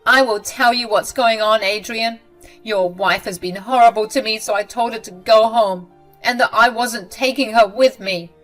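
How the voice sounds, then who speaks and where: A woman voicing an angry, self-pitying mother-in-law who talks in a shrill, accusing way. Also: normal speed, fairly bright tone, faint music behind, natural voice, low-quality recording. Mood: tearful, accusing.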